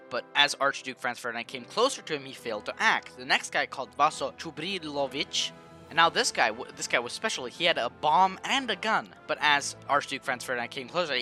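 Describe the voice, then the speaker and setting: Man, comedic history narrator, slangy, animated intonation, bright voice, soft music behind. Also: mid-range voice. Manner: jokey, slangy, irreverent. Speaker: host of a history video series.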